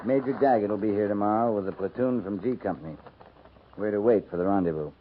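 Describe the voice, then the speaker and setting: An actor playing a steady, dry-witted cavalry captain in a 1950s radio western, terse and understated in his authority. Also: on the low side, fast talker, lightly acted. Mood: businesslike. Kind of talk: 1950s radio drama acting. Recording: noisy, tinny 1950s radio recording.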